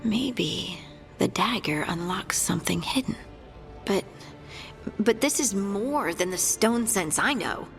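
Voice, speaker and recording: A woman's voice: medium pitch, expressive, slow pace, gravelly, faint music behind. A woman playing an earnest young dwarf scout in a fantasy video game, sincere and a little hesitant. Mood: wondering. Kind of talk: scripted video game dialogue.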